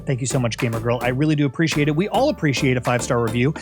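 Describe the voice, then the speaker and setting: A man's voice: medium pitch, rapid-fire, over music. A man hosting a podcast with playful, polished patter, speaking into a podcast microphone. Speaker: podcast host. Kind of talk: scripted-sounding intro, then casual interviewing.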